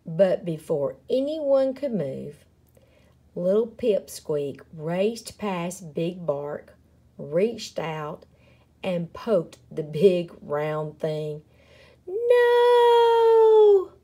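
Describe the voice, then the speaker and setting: A woman reading a children's picture book aloud in a dramatic, expressive way, with deliberate and playful emphasis. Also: fairly low pitch, breathy edge. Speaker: story reader.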